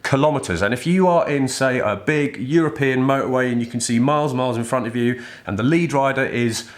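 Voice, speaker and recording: A man's voice: medium pitch, brisk. A middle-aged British man giving an informal product review to camera in a chatty, irreverent way with casual slang. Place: indoor studio set styled as a workshop.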